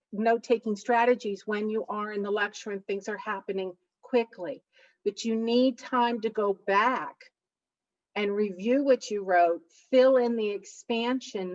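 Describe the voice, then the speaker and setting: Emphatic woman instructor, muffled distant audio. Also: medium pitch. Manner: emphatic, stresses key points with a big voice. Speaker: college instructor. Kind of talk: recorded study-skills presentation. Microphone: computer mic for online session.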